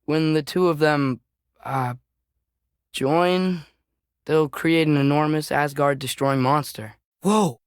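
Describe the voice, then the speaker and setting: A man's voice: a little high, fairly even intonation, slow, smooth, dry recording, clean studio sound. A young man voicing an earnest, curious teenage boy in a video game, speaking naturally and conversationally. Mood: serious, reflective, then awed, curious.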